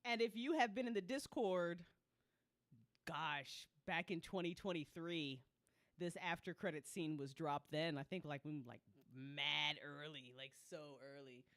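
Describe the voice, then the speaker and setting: A woman's voice: low-pitched, unhurried, fairly bright tone, no echo. A woman hosting a live listen party in casual livestream chat, informal and teasing, with some swearing.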